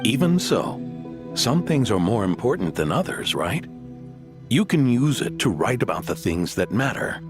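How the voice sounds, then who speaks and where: An actor playing a kindly, thoughtful inventor in a video game, speaking gently and patiently like a father figure. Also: mid-range voice, sing-song, normal speed, over music, close to an everyday voice. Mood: gentle, encouraging.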